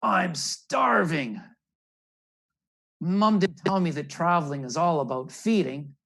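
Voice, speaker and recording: A man's voice: fairly high pitch, slow, fairly bright tone, pitched above the performer's own voice, full character voice. A man voicing a wide-eyed, eager young red knot bird in a children's storybook, with simple exclamations. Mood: hungry, complaining.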